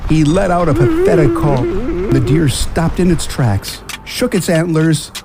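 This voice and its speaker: A man's voice: a little high, huge pitch swings, unhurried, over music. A man narrating a scripted family radio drama in a storybook style.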